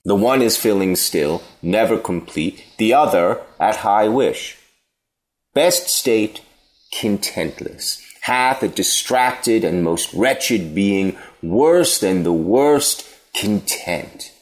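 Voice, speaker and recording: An actor playing a cynical, needling Athenian philosopher in a Shakespearean audio play, deliberate and mocking. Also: male voice, on the low side, lively intonation. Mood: scolding.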